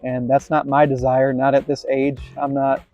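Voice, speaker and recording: A man's voice: medium pitch, completely flat delivery, moderate pace, soft background music. Rambling self-deprecating young man, lo-fi. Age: 20s. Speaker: skateboarding vlogger. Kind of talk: casual self-filmed vlog commentary.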